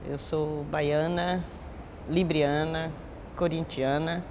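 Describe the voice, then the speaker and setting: A Bahian-accented woman in her sixties speaks slowly and reflectively in a lowish voice. She often closes her thoughts with a tag question. Her voice trails off into a long reverb. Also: steady tone, background noise. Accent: Bahian Portuguese. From Bahia, Brazil. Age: 60s. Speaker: capoeira master. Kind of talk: recorded interview testimony.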